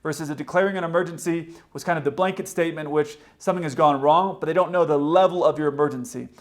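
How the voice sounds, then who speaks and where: A man's voice: on the high side, fast talker. A man, a pilot hosting a video, explains flying procedures in a plain-spoken, opinionated commentary. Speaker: pilot and video host explaining aviation radio.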